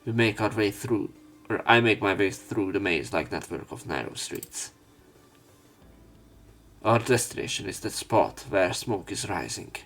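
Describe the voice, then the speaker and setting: A man's voice: fairly low pitch, animated, measured pace, creaky, fairly bright tone. A man reading a visual novel aloud as a let's play narrator, dramatizing the script. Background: background music.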